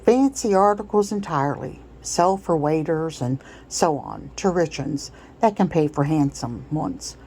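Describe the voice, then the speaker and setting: A woman's voice: low-pitched, expressive, unhurried, few pauses, dark tone, slight background noise, low-quality recording. Woman voicing a pushy, folksy male slave trader. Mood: smooth persuasion. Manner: folksy rustic dialect, blustering and familiar. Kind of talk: storybook read-aloud character.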